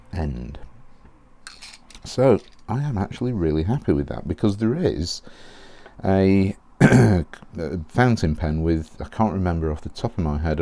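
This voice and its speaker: A man with a very low, mellow voice talks casually through a product unboxing. He rambles, corrects himself and keeps clearing his throat, sounding a little hoarse as he loses his voice. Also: slight background noise. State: losing his voice. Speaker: fountain pen reviewer.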